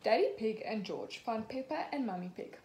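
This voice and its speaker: A young woman in her twenties, a teacher, reading a picture book aloud to children slowly and clearly, acting out the characters. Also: a little high, expressive, a little mellow. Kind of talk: children's storybook read-aloud. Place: small room at home, indoors. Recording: echoey room, faint background hiss.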